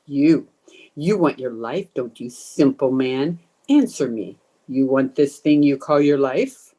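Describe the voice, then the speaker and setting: A woman's voice: extremely low pitch, expressive, mellow, slightly rough, full character voice. A woman playing a menacing, commanding undead ghost hungry for blood, in old time radio horror style. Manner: slow, commanding, taunting. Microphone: video call.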